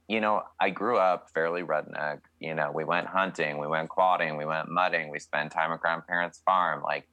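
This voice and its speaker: A man's voice: very deep voice, fairly even intonation, normal speed, few pauses, sharp tone, no room sound. A young man from Canada, a country singer, answering interview questions in a chatty, candid way with many fillers.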